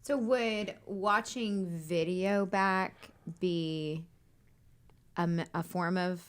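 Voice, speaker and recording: A woman's voice: on the low side, drawn-out words, clean tone. A female coach in a casual chat, asking curious follow-up questions. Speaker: mental management coach.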